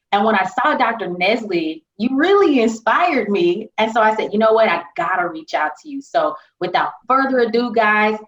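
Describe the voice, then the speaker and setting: A woman's voice: mid-range voice, fairly bright tone. A woman hosts a casual podcast interview and puts questions to her guest in a friendly, chatty way. The recording sounds roomy and a little dull. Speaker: podcast host.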